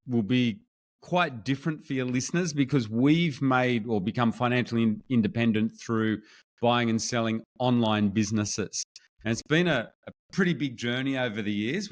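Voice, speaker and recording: A man in his fifties with an Australian accent, a podcast guest telling his story in a friendly, relaxed way. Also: mid-range voice. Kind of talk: casual interview chat.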